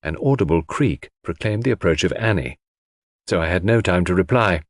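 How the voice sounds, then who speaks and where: A man's voice: deep voice, animated, normal speed, no echo, clean studio sound. A man reading scripted first-person mystery narration as an audiobook narrator.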